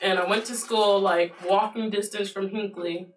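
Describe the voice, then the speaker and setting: A young host from Aurora, Colorado, steers a relaxed chat over a meal, upbeat and quick to cheer the others on. The voice is mid-pitched and picked up from some distance, with faint hiss behind. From Aurora, Colorado, USA. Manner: upbeat, quick affirmations, laughs along. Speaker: host asking the questions. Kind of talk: casual group chat over food. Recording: distant mic, faint noise.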